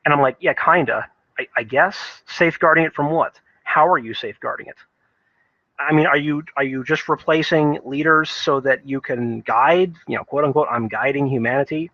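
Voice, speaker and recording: A man with a slightly high voice gives off-the-cuff, opinionated video game commentary. He keeps restarting phrases and leans on key points with emphasis. The recording is dry and a little dull, like a lo-fi home setup. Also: sharp tone, no room sound. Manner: halting, repeats and restarts phrases mid-thought.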